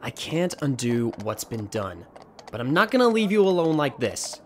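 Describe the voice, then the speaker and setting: A man's voice: medium pitch, very animated, moderate pace, a little hiss or hum, close to an everyday voice. A man playing an earnest, dutiful pony captain of the royal guard in a radio drama, formal and pleading in manner. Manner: formal, pleading, gentle with children. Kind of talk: fan radio drama voice acting.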